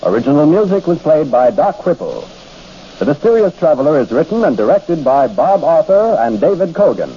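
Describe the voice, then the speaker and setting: A man's voice: on the high side, very animated, fairly quick, warm tone, slightly rough. A man reading scripted announcements as a formal, dramatic 1940s radio network announcer. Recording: dull old radio broadcast.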